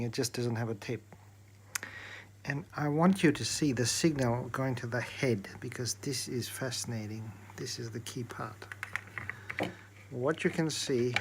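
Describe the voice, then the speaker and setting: A man's voice: mid-range voice, slight background noise. A man presenting an electronics repair demonstration, explaining things step by step in an informal way.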